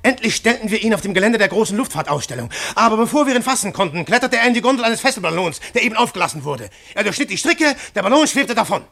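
Ein Schauspieler spielt in einem Hörspiel einen leidenschaftlichen Detektiv, der mal drängend ruft, mal leise grübelt. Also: male voice, sits high, animated, quick pace, creaky, hardly any pauses, no room sound, exaggerated voice. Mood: tense narration. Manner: fervent, declamatory, shifting to hushed musing. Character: intense male detective, brooding philosopher. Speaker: detective narrator. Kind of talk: radio play acting.

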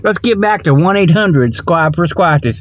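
A man's voice: on the high side, expressive, measured pace, no echo. A man playing a folksy, rambling comic radio host who delivers a mock report with colloquial speech and repeats himself. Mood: rambling, mock earnest. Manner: rambling, repetitive, chuckles at own jokes. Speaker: comedy radio show host. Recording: dull lo-fi audio.

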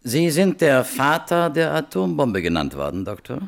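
An actor playing a sardonic prosecuting attorney in a German radio play, questioning in a needling, deliberate and insistent way. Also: male voice, mid-range voice, very animated, creaky. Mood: probing, insistent. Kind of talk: German radio play acting, courtroom interrogation.

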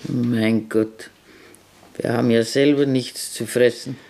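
A man's voice: mid-range voice, steady tone, slow, vocal fry, darkish tone, voice pushed up. A man voicing a grim ancient innkeeper woman. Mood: gruff. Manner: blunt, curt, grim dialect-tinged speech. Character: ancient bitter old village innkeeper woman. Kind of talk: German radio play acting.

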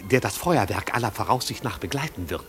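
An actor in a 1950s German radio play playing a fussy, pompous servant who speaks in stilted, formal, long-winded sentences. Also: male voice, lowish voice, animated, a little fast, fairly bright tone. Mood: gravely pompous. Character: pompous, fussy, devoted manservant of a marquis. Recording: 1950s radio recording with music.

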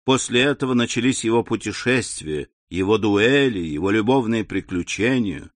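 A man narrating a classic novel as an audiobook, in an even, measured reading on a close studio mic. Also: lowish voice, no echo.

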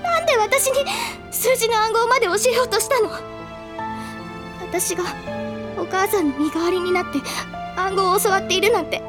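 A woman voicing an anguished, bitter teenage girl in an audio drama, speaking in a wounded, halting and intense way. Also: extremely high pitch. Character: anguished teenage girl, bitter over her mother's betrayal. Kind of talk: audio drama acting, emotional monologue. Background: music.

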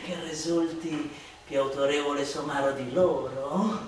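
A woman performing a satirical theatrical monologue in a declamatory, sardonic manner that swings between hushed and shouted delivery. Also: low-pitched, steady tone, measured pace. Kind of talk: theatrical radio recitation of a satirical pamphlet. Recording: echoey hall, distant mic, noisy.

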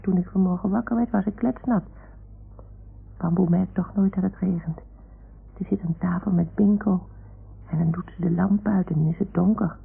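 A woman's voice: mid-range voice, vocal fry, slightly rough, heavily acted. A woman playing an imaginative, earnest young boy who repeats grown-ups' words to his toy animals in a radio play. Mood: defensive, confiding. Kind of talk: 1960s Dutch radio play acting. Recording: old radio recording, phone-like band, noise.

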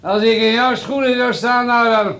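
An actor playing a rude, drunken, bullying American hotel guest in a radio drama, coarse and barking. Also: male voice, extremely high pitch, fairly even intonation, normal speed, fairly bright tone. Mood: taunting, menacing. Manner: coarse, swearing, barking orders.

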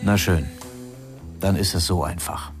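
Grave insistent man, slightly low pitch, radio drama dialogue, music behind. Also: fairly even intonation, measured pace. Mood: stern, insistent.

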